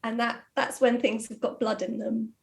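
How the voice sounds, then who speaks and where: A woman, a writer, talking casually and explaining her craft with animated emphasis. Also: a little high, normal speed, vocal fry. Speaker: audio drama writer. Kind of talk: casual discussion of writing.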